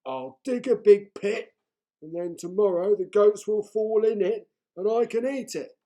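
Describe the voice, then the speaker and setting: A man voicing a simple, hungry male troll who talks in a small, timid voice. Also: mellow. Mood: eager. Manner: small high voice, drawn-out.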